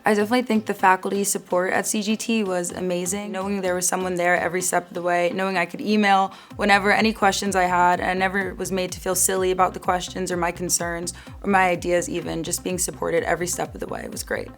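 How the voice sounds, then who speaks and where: A woman's voice: fairly low pitch, fairly even intonation, fast talker, hardly any pauses, faint music behind. A young woman in her twenties, a graduate student speaking casually and reflectively in an upbeat interview testimonial. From United States.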